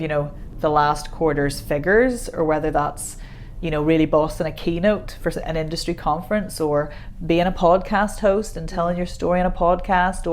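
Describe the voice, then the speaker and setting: A woman, a voice coach chatting as a podcast guest, self-deprecating and quick to laugh. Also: on the low side, slight background noise. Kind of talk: casual podcast conversation.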